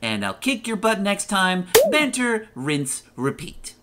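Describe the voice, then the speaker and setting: A woman's voice: medium pitch, big pitch swings, slow pace, heavy background noise. A woman voicing a cocky, impatient young male hedgehog hero with a flippant, sarcastic manner in a cartoon dub. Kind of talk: animated comic dub.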